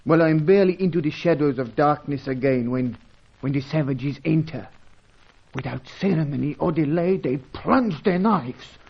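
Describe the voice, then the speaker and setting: A humble, superstitious local guide from an old radio adventure. He tells things formally and haltingly, with solemn courtesy. The sound is dull and narrow. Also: fairly high pitch, creaky, slightly rough. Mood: confiding. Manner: formal, halting, florid storytelling. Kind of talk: old-fashioned radio serial acting. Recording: dull, band-limited old radio recording.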